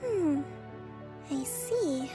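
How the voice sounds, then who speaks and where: A woman playing a composed young female strategist leader in a video game, speaking in a measured, formal, articulate way. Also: high voice, animated, music in the background, full character voice. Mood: thoughtful, calm. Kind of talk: video game character acting.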